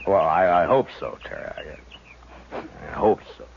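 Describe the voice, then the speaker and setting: A man's voice: deep voice, expressive, a little slow, gravelly, heavily acted. A man playing a stoic, weary, authoritative US marshal in a 1950s radio western, terse and grave in both narration and dialogue. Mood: tired, reassuring. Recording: muffled, noisy old radio broadcast.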